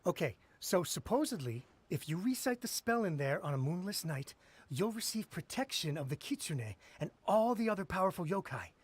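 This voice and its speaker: A credulous townsman in a video game. The voice is high for a man and rather husky. He talks earnestly, like an eager gossip. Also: sing-song, normal speed, full character voice.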